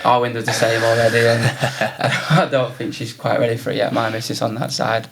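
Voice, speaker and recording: A man's voice: medium pitch, little pitch movement, normal speed, vocal fry, noisy background. A man from North Wales, a podcast guest chatting casually and laughing often. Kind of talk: casual interview chat.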